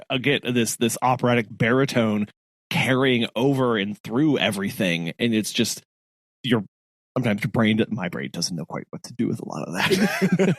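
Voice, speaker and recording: A man's voice: medium pitch, no echo. A man co-hosting a podcast in casual chat, rambling with many restarts and frequent laughs. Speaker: podcast co-host.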